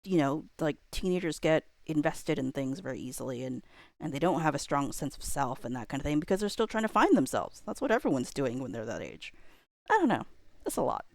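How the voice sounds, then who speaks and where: Low-pitched woman chatting casually, rambling. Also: big pitch swings, fairly quick, a little mellow, dry recording, studio quality. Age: millennial, around 30s. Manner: rambling, hedging, trailing thoughts. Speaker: livestreamer. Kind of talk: casual reflective chat to viewers.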